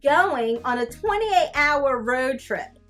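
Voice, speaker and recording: A woman reading a storybook aloud as a sassy, easily grossed-out young female cartoon character, with exaggerated, drawn-out disdain. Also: high-pitched, animated, a bit bright, soft background music, full character voice. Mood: disdainful, complaining. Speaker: teacher reading a picture book aloud. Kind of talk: animated children's storybook read-aloud.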